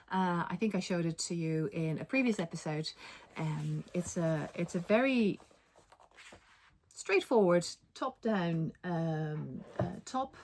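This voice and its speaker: Soft-spoken Dutch-accented woman, slightly gravelly. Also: medium pitch. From Netherlands. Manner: chatty, with many ums and self-corrections. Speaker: knitting vlogger and linguist. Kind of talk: casual vlog chat.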